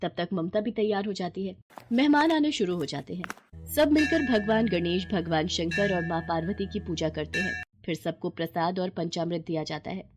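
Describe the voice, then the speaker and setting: A woman's voice: lowish voice, lively intonation, normal speed, over music. A woman narrating a scripted bedtime moral story.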